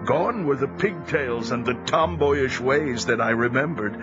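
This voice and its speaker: A reflective seafarer narrating his memories, performed by a man in an audio drama. He speaks slowly at an average pitch, measured and nostalgic. Music plays clearly behind him. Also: muffled recording, lightly acted. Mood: fond, nostalgic. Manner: measured, reminiscent, storytelling. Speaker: first-person narrator.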